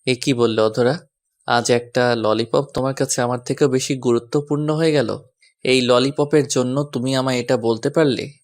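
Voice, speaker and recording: A man's voice: medium pitch, steady tone, moderate pace, lightly acted. A man playing a mischievous, doting young husband who teases and coaxes in a romantic audio story. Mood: teasing. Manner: teasing, coaxing.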